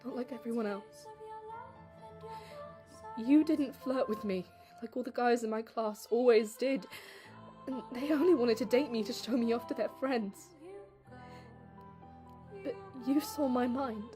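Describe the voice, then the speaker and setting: A woman's voice: sits high, warm tone. A woman playing a modest, vulnerable young assistant who speaks hesitantly and adoringly in an audio drama. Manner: hesitant, self-effacing.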